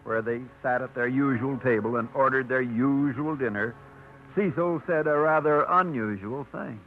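Brief: man narrator, smooth storytelling, music bed, tinny old radio. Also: medium pitch, moderate pace. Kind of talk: scripted radio drama narration. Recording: tinny 1950s radio broadcast with music underneath.

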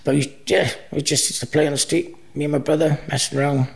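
A middle-aged man with a Cardiff accent and a gravelly edge to his voice, Welsh his first language. He chats easily as an interview guest, wandering through his story with frequent false starts. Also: on the high side, steady tone, fast talker. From Wales. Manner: rambling, restarts often, easygoing. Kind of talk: casual interview chat.

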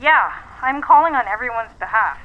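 An actress playing a brisk, persuasive businesswoman on a phone call in a naturalistic audio drama, with a businesslike, coaxing manner. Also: a little high, animated, slight background noise. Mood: pressing. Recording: dull, band-limited phone line.

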